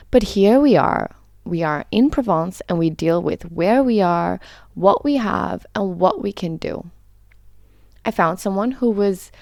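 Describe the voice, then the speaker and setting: Woman podcast host with a gravelly edge. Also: medium pitch, darkish tone, no echo, clean studio sound. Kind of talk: podcast intro and interview.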